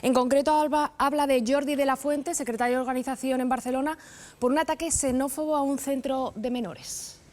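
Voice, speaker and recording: A woman's voice: high-pitched, fairly even intonation, brisk, a little mellow. A woman reporter on live TV putting a viewer's question, polite but persistent.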